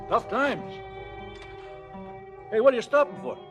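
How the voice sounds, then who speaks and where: Male gangster boss, high voice, cocky, fretful, blustering, clipped tough-guy talk, 1970s radio play. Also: lively intonation, slow, over music, heavily acted. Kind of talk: darkly comic radio drama dialogue. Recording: 1970s stereo radio drama.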